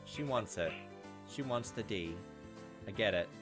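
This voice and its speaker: Guy doing a lets play, reading out a visual novel, really animated pitch swings, music playing behind him. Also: a little slow. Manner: casual, profane asides, reacts to the text as he reads. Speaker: gaming video host, let's player. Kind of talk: reading a visual novel aloud with casual commentary.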